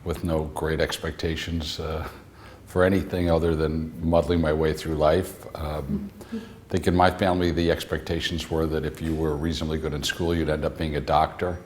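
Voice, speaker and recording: A man with an extremely deep voice speaks calmly and plainly, in a very flat, even tone. He sounds steady and unhurried in an interview. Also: a little hiss or hum. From New York City suburbs. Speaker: interviewee, physician-scientist. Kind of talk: reflective interview answers.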